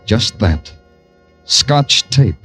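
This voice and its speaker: Low-voiced, wry hardboiled radio detective. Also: male voice, drawn-out words, full character voice. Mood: brooding. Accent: American. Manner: deadpan, sardonic. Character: weary, wry male big-city police detective narrating his case. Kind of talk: 1940s radio crime drama acting, hardboiled narration. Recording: old 1940s radio broadcast, music behind.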